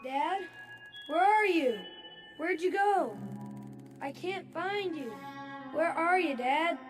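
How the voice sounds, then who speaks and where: A woman playing a gentle little boy devoted to his father, speaking simply and childishly in radio-drama style. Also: very high-pitched, expressive, takes it slowly, soft background music, heavily acted. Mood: anxious. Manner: simple, childlike, brief replies. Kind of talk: dramatic radio-play acting.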